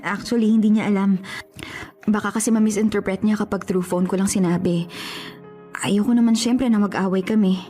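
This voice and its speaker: A woman acts out a guarded young Filipino woman in love, with a mellow, dark-toned voice. She speaks earnestly and hesitantly in a radio drama, with music underneath. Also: mid-range voice, fairly even intonation, fairly quick, close to an everyday voice. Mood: earnest confession.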